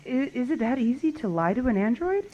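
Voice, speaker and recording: A woman playing a curious wandering survivor who loves books, in an audio drama, with an expressive, questioning delivery. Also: on the high side, fairly quick, muffled recording. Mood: incredulous, indignant. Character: wandering female book lover, curious, pleading, indignant survivor. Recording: clearly noisy background with clicks.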